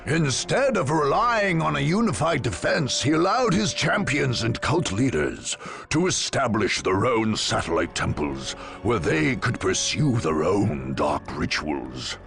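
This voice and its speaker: A grave, portentous storyteller recounts a dark war saga for a video game. His mid-pitched voice is creaky and lively in its rise and fall, set over music. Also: unhurried, full character voice. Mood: grave, ominous. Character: grave, ominous male narrator of a war chronicle. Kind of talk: dramatic scripted narration for a video game.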